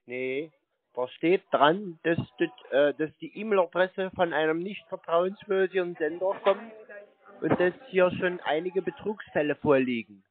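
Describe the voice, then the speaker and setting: A man's voice: sits high, unhurried. A man arguing casually on a phone call in a matter-of-fact, contradicting way. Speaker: scam-baiter taking a call. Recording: narrow phone-like sound, dry.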